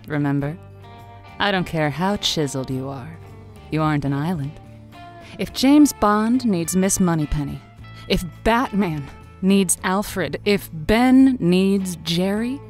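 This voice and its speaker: A woman's voice: mid-range voice, a little mellow, close to an everyday voice. A woman playing a playful, caring late-night radio advice host in an audio drama, with an intimate, teasing, encouraging manner.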